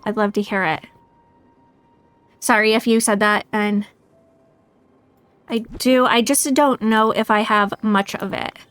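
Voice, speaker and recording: A woman's voice: fairly high pitch, a bit bright, clean tone, no echo. A woman game streamer chatting casually and thinking aloud in a tentative, musing way as she plays. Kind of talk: casual live gaming chat, thinking aloud. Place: indoors, livestream.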